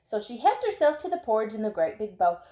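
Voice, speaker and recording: A woman reading a children's storybook as narrator, expressively and with dramatic, drawn-out emphasis. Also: a little high, sing-song, warm tone, reverberant.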